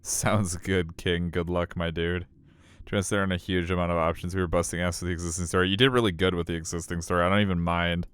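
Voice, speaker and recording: A man's voice: sits low, little pitch movement, fast talker, a bit bright, clear, resonant tone, no echo. A man, casually commenting on and narrating a game in an informal, rambling way. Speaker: streamer reading a visual novel.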